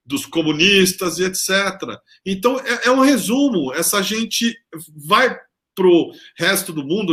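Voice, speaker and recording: Bright, indignant Brazilian host. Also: male voice, high-pitched. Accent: Brazilian Portuguese. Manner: indignant, sarcastic. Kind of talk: casual political talk.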